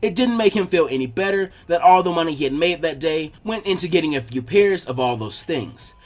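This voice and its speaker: A man's voice: a little high, animated, a little fast. A man reading fan fiction aloud as a scripted story narration.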